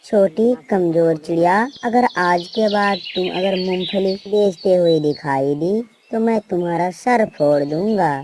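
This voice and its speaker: Woman voicing a menacing, slow-talking bully crow. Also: lowish voice, darkish tone, a little hiss or hum, lightly acted. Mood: threatening. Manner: menacing, scolding. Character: bullying, jealous, threatening male crow.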